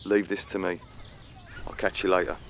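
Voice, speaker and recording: Actor as deep-voiced gang boss. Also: male voice, level tone, normal speed, vocal fry, a little hiss or hum. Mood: curt, menacing. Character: older gang boss, calm, curt, quietly menacing. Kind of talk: comic radio play acting.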